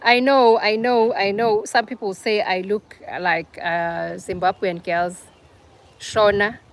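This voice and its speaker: A woman's voice: medium pitch, fairly even intonation, slow. Chatty South African woman streaming live. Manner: chatty, teasing, answers comments by name. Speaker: livestream host. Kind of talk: casual live chat with viewers.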